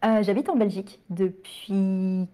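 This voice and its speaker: A French woman in her late twenties, a guest chatting casually and filling pauses with "euh". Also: medium pitch, steady tone, warm tone. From France. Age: 20s. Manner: chatty, with frequent fillers. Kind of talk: casual interview chat.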